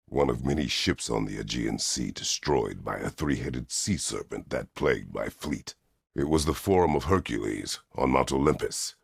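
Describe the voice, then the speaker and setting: An actor playing a stern, weary, middle-aged Greek war god in a video game, speaking tersely and formally with a gravelly, husky voice. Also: male voice, very deep voice, dry recording, clean studio sound, heavily acted. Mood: firm, demanding. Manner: terse, grave, formal phrasing without contractions. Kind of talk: dramatic video game voice acting.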